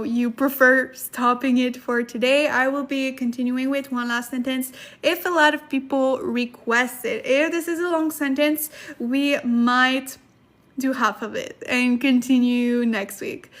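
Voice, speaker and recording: High-pitched, giggly woman livestream host. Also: a bit bright. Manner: giggly, chatty, repeats herself for emphasis. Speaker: livestream host, language study partner. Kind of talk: casual livestream chat and lesson, reading viewer comments.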